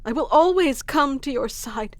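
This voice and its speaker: A woman's voice: high voice, lively intonation, normal speed, dry recording. A woman voicing a devoted, lovestruck fantasy noblewoman in an ASMR romance roleplay, earnest and dramatic with formal storybook phrasing. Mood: pleading, impassioned.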